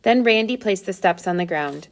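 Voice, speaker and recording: A woman's voice: mid-range voice, expressive, normal speed. A woman reading a children's adventure story aloud as scripted narration. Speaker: storybook reader.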